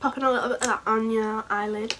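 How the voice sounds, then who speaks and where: Brief: woman, hoarse from a sore throat, rambling and chatty beauty vlogger, fairly high voice. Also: fairly even intonation, fast, a bit bright, a little hiss or hum. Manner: chatty, rambling, a bit scatterbrained. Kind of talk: casual makeup tutorial.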